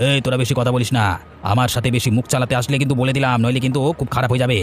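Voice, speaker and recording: A man's voice: mid-range voice, little pitch movement, very fast, slight background noise, lightly acted. A man playing a rude, greedy, scornful village handyman uncle in a cartoon, brusque and repeating words impatiently. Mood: threatening. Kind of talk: Bengali cartoon voice acting.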